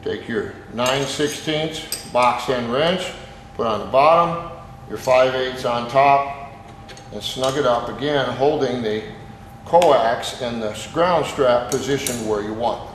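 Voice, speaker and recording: A man's voice: mid-range voice, takes it slowly, a little hiss or hum. Man giving instructions in an echoey, reverberant room. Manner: step-by-step, plain-spoken instructions. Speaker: technician demonstrating an installation. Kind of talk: hands-on instructional demonstration.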